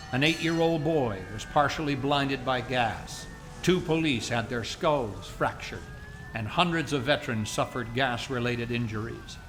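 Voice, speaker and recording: A man narrating a radio play in a measured, grave, scripted documentary style. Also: mid-range voice, fairly bright tone, slight background noise.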